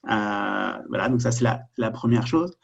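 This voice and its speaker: Husky Parisian man, chatty and informal. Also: lowish voice, lively intonation, unhurried, highs cut off. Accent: Parisian French. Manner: informal, self-deprecating, repeats words while starting sentences. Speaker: panelist presenter. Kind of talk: chatty webinar presentation.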